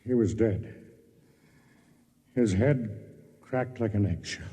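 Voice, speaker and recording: An American actor playing a big, aging, gruff and loyal man, narrating dramatically in a 1950s radio drama. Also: on the low side, slow, warm tone, full character voice. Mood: grim, vengeful. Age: middle-aged.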